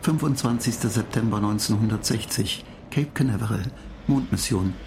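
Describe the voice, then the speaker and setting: An actor in a German radio play voicing a cool, superior computer mind, reciting in a detached, measured way. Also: male voice, fairly low pitch, background noise, lightly acted. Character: cool, superior computer mind lecturing human space travellers. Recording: music behind the voice.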